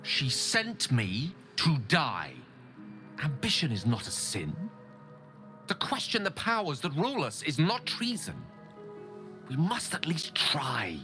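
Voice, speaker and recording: A man's voice: fairly high pitch, wildly swooping pitch, slow, sharp tone, exaggerated voice. A man playing an eloquent, ambitious and embittered wizard in a video game, speaking with earnest, theatrical and formal articulation. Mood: defiant, impassioned. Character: ambitious, eloquent, embittered male wizard pleading his case. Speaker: video game companion character. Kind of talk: dramatic game dialogue acting. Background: background music.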